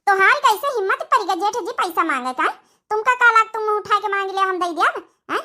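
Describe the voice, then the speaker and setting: A woman's voice: very high voice, expressive, a little fast, pushed and bright, exaggerated voice. A woman with an Awadhi accent playing a selfish, boastful younger daughter-in-law who scolds her relatives in a cartoon comedy. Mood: indignant.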